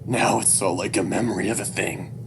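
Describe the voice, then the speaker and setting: A man voicing a broken, resigned father, a scruffy furry animal, with heavy ragged breath and halting, bleak delivery. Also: lowish voice, fairly even intonation, vocal fry, noisy background. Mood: numb resignation.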